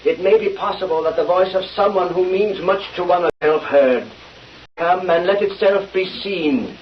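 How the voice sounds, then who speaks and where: An actor playing a fake spirit medium in an old radio drama, intoning in a theatrical, portentous manner. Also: male voice, high voice, moderate pace, natural voice. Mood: solemn. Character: sham medium conducting a seance, solemn and theatrical. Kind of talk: 1930s-40s radio acting. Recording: hissy, muffled old radio recording.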